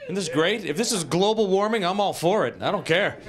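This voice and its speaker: A man in his forties doing animated, incredulous stand-up comedy, often interrupting himself. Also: high-pitched, brisk. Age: 40s. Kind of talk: stand-up comedy before a live audience. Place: small TV studio stage with audience. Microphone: handheld microphone, later on a stand. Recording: live studio audience laughing.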